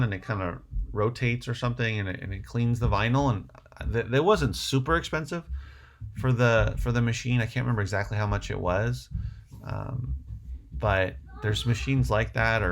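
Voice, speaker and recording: A low, gravelly male voice with plenty of vocal fry. He talks casually as a livestream host, rambling a little and thinking out loud while he answers questions. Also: normal speed.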